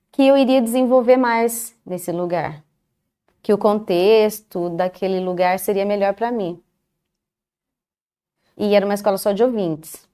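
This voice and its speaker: A woman recounting her own life story in a calm, measured way, pausing often between short phrases. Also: medium pitch. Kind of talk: first-person life story in a TV interview.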